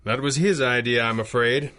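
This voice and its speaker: A man's voice: mid-range voice, normal speed, pushed and bright, natural voice. A man playing a commanding masked radio-serial hero. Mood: earnest, measured. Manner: articulate, formal, theatrical delivery. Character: commanding masked 1930s crime-fighting hero with a dry wit. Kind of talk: 1930s radio adventure serial acting.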